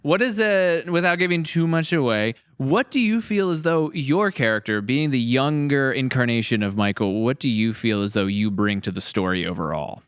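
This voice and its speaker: Smooth-voiced upbeat man hosting. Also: mid-range voice, moderate pace, few pauses, no room sound, studio quality. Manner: upbeat, jokey, lots of restarts. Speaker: podcast host. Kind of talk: casual chat. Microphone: handheld mic.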